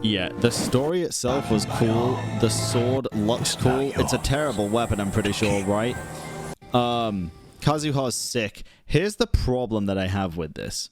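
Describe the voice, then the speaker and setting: A young man gives casual gaming commentary at an average male pitch. He is blunt and slangy, full of opinions. Faint music plays behind him. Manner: blunt, slangy, frequently swearing. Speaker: gaming YouTuber.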